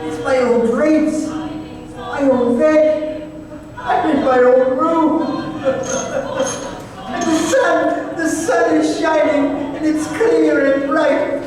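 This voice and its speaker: An older man playing an old miser, halting and theatrical, by turns pleading and giddy, in old-time radio drama style. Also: extremely high pitch, fairly even intonation, a crowd in the background, heavily acted. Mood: giddy joy. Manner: halting, exclaiming, stammering, theatrical. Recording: very echoey hall, distant mic.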